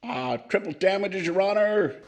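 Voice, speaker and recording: Pompous middle-aged scoundrel, raised pitch, florid drawl, 1940s radio comic style. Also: male voice, expressive, normal speed, noisy background. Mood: alarmed. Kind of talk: 1940s radio comedy acting, comedian impression.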